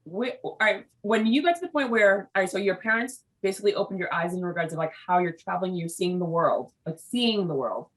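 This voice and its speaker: Fast-talking bubbly woman host. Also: fairly low pitch, lively intonation, rough recording. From United States. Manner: bubbly, talkative, many restarts. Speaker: show host. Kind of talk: casual interview chat.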